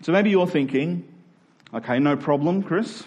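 A man's voice: a little high, animated, measured pace, darkish tone. A man preaching a conversational expository sermon as a pastor, often posing rhetorical questions and checking in with his listeners. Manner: asks rhetorical questions with check-in tags like "right?" and "okay?".

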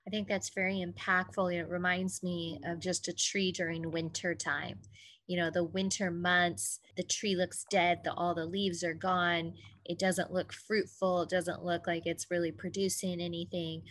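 A woman interviewer speaking conversationally, explaining and affirming in an encouraging, measured way. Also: lowish voice, little pitch movement, fairly bright tone. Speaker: interviewer, host.

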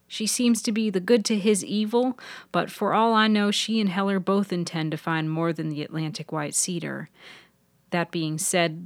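A woman's voice: fairly low pitch, no room sound. A woman voicing a sweet, conspiratorial young woman intern in a fiction podcast. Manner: sweet, hushed, knowing. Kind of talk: audio fiction character dialogue.

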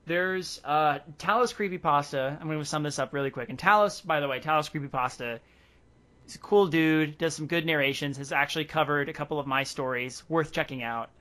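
A man's voice: fairly high pitch, a little fast, bright, forceful tone. A man hosting a podcast, chatting casually and rambling with frequent laughs. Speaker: podcast host. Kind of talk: casual chat.

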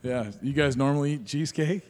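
A man's voice: medium pitch, brisk, a little mellow. A man hosting a casual interview, friendly and chatty, laughing easily and using plenty of fillers. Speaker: podcast host.

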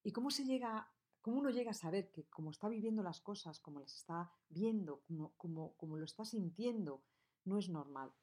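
A woman's voice: lowish voice, lively intonation, fairly quick, darkish tone. A woman interviewer who asks questions and rephrases them as she goes.

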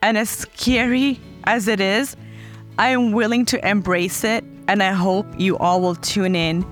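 A woman in her thirties from Fiji hosting her own podcast, speaking in an earnest, heartfelt way and slowing down to dwell on her words. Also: medium pitch, steady tone, soft background music. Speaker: podcast host. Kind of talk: reflective personal monologue.

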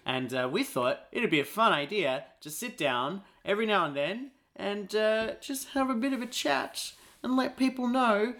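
A man's voice: high voice, normal speed, few pauses, a bit bright. A young Australian man with an Australian accent, co-hosting a comedy podcast in playful, teasing chat.

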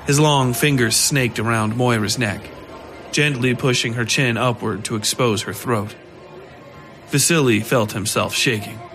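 A man's voice: mid-range voice, measured pace, fairly bright tone. A man narrating scripted horror fiction as a storyteller. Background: music under the voice.

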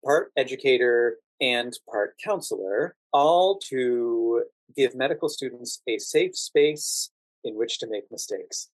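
A man's voice: medium pitch, animated, slow pace, dry recording, low-quality recording. A middle-aged man from the United States speaking as a podcast guest, explaining and telling anecdotes conversationally. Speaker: podcast guest, actor and standardized patient.

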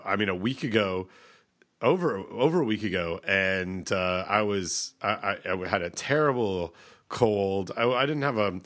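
A man's voice: on the low side, bright, forceful tone. A man in his thirties co-hosting a podcast, stuffed up from a cold, rambling with lots of restarts. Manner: rambling, hesitant, many restarts. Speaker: podcast co-host. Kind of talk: casual chat.

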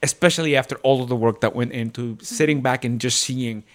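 A man's voice: medium pitch, a little fast. A man co-hosting a podcast as a theater director, talking reflectively and earnestly in a casual, rambling way. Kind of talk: casual reflective panel chat.

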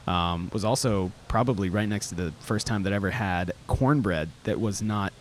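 Florida guy hosting a loose rambly chat, lowish voice, some noise in the background. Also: moderate pace. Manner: rambling, peppers talk with "like" and "you know". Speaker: interviewer, host. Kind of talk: casual chat.

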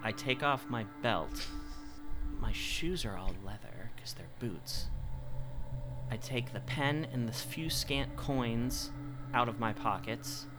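A man's voice: mid-range voice, slow pace. A man playing a tabletop role-playing game, casually describing his character's actions and often restating himself. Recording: music behind the voice. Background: music.

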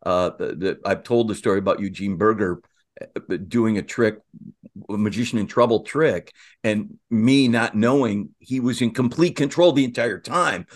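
A man co-hosting a casual interview, effusive and halting, often repeating his phrases as he goes. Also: fairly low pitch. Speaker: podcast co-host.

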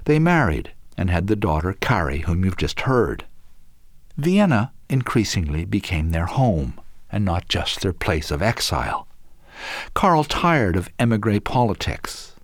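Low-voiced guy narrating a documentary, measured, really expressive pitch. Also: no echo, studio quality. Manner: measured, storytelling delivery.

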